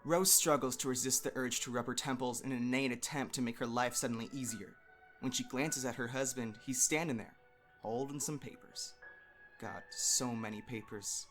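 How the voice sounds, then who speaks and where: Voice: mid-range voice, fairly even intonation, moderate pace, a bit bright, faint music behind. A narrator giving a wry, theatrical dramatic reading of a scripted story.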